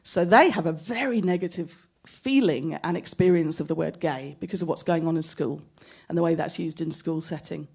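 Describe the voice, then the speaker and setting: A woman speaking as a panelist at a live discussion, thoughtful and personal, measured in how she talks. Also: fairly low pitch, gravelly, a little mellow, husky. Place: gallery event space.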